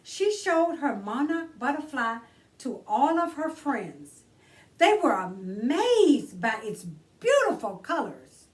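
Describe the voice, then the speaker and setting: High, animated woman reading a children's story slowly. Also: distant mic. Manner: expressive, deliberate, drawn-out emphasis on key words. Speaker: children's storytime host and reader. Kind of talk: read-aloud children's story narration.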